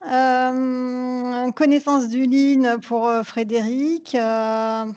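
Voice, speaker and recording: A woman's voice: high-pitched, little pitch movement, extremely slow, a little mellow, dull audio. A woman from France co-presenting a webinar over a video call, talking with many fillers. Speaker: consultant and co-presenter. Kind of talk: live online presentation.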